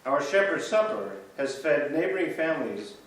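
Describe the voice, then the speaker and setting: A man reads scripted stories in a measured, storytelling manner, his voice sitting a little high. He is picked up from far off in a big, echoing church. A faint hiss runs underneath. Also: sing-song, fairly bright tone. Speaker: reader's theater performer. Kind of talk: scripted reading of church history. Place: church sanctuary.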